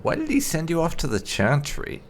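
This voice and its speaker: A man's voice: fairly high pitch, wildly swooping pitch, moderate pace, slight background noise. A man hosting a roleplay game playthrough, speaking casually as he voices dialogue choices and adds conversational asides. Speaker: gaming host.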